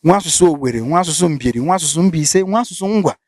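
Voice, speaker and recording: A Nigerian man with an Igbo accent, hosting a field interview and talking in a lively way, mixing Igbo and Pidgin. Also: fairly high pitch, very fast, dry recording. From Imo State, Nigeria. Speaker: interviewer and show host.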